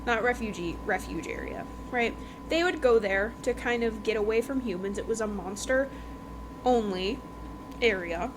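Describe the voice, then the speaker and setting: A woman with a fairly high voice hosts a casual book review podcast. She talks informally and emphatically, repeating phrases and checking that listeners follow. There is noticeable noise behind her. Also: measured pace. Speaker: podcast host reviewing books.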